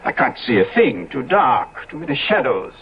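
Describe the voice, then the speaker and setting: An actor playing a decadent, world-weary, easily appalled gentleman in 1970s radio drama, with an exclamatory, declamatory delivery. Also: mid-range voice, big pitch swings, normal speed, vocal fry, breathy edge, exaggerated voice. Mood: uneasy. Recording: dull vintage radio recording.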